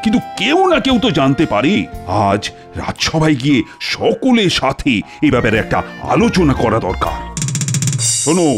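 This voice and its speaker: A man playing a vain, anxious middle-aged king in a comedy cartoon, dramatic, fussy and commanding in manner. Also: a little high. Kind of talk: Bengali comedy cartoon voice acting.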